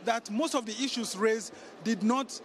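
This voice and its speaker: A Nigerian-accented man reports live as a political correspondent. His voice sits notably high for a man, and he explains things in a measured way. Some faint location noise comes through the handheld microphone. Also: a bit bright. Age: middle-aged.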